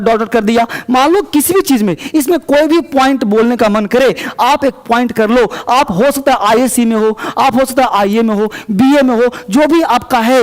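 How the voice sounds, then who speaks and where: Male teacher with a very high voice, recorded with a long reverb. Explains step by step and slows down for example sentences. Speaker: English teacher. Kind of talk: spoken English lesson.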